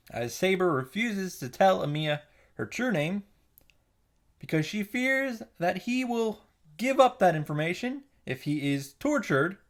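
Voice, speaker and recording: A man's voice: fairly high pitch, a bit bright. A man giving a narrated recap with comic first-person commentary, informal, sweary and mock-exasperated in manner. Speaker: host of a visual novel reaction and summary show. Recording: faint music behind the voice. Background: faint background music.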